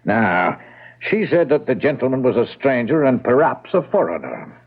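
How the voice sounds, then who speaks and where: Thin, phone-like old radio drama sound. a methodical, deferential police inspector with a husky voice and lively, swinging intonation, patiently probing as he questions. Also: medium pitch, normal speed. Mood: politely probing. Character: polite, methodical male Scotland Yard police inspector. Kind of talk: radio mystery drama acting.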